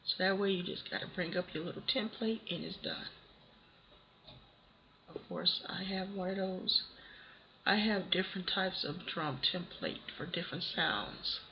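A hoarse speaker with a cold walks viewers through a software tutorial one step at a time, in a relaxed and unhurried way. The voice-over sounds dull and lo-fi, with a faint hiss underneath. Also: mid-range voice. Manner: casual, talks through steps one by one. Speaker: tutorial host. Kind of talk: casual screen-recorded software tutorial.

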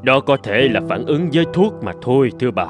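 An actor playing a grave, sorrowful middle-aged doctor in a cartoon, speaking in a solemn, measured way. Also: male voice, mid-range voice, sing-song, music in the background, lightly acted. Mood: grave, regretful. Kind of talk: Vietnamese cartoon voice acting.

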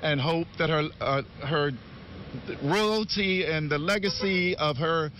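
A man's voice: on the high side, takes it slowly, a bit bright. A man from Washington, D.C., a cruise tourist, answering a street reporter earnestly and politely. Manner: polite, earnest, says "yes, sir". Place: outdoors, street. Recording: dull outdoor recording with faint noise.

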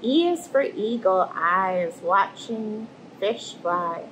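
A woman, reading a picture book aloud to children in an animated storytelling manner. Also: mid-range voice, slow, a little hiss or hum, poor audio. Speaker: storybook reader and host. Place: indoors in front of an aquarium tank.

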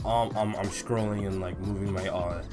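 A young man doing casual, slangy reaction commentary and read-along, expressive and given to drawn-out exclamations. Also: low-pitched, over music. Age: young adult. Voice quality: slangy, informal. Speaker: YouTube reaction host. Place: small indoor room at home.

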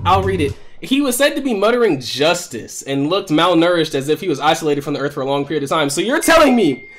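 A man's voice: a little high, fast talker, faint music behind. A man in his twenties giving casual, profane, slangy reaction commentary and reading on-screen text aloud on a noisy recording. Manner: profane, slangy, animated, exclaiming. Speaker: reaction video creator. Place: small room at home.

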